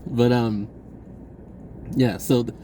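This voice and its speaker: A man telling a personal story in a casual, rambling vlog style, acting out bits of dialogue in an animated way. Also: medium pitch, slow, slight background noise. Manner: animated, acts out dialogue, chuckles often.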